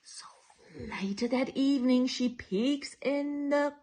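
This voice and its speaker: A woman reading a children's picture book aloud in a playful, theatrical way, with dramatic whispers and drawn-out words. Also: high-pitched, warm tone. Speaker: children's storytime reader.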